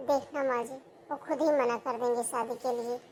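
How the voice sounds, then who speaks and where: A woman's voice: fairly high pitch, steady tone, normal speed, a little mellow, natural voice. A woman voicing a greedy, scheming young daughter-in-law in a cartoon, bossy and pushy. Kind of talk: Hindi cartoon voice acting.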